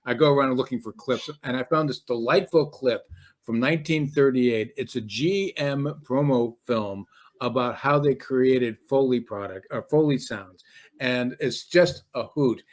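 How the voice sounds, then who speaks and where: A man hosting a casual interview, talking in a halting, rambling way. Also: medium pitch. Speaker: interview host.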